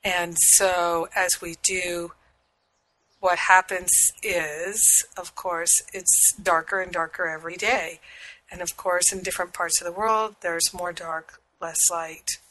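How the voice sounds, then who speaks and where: Husky woman minister, soothing, a touch low, bright edge, faint hiss behind. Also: steady tone, poor audio. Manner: slow, soothing and affirming. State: recovering from illness. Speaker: spiritual radio show host and minister. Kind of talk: prayer and spiritual teaching talk.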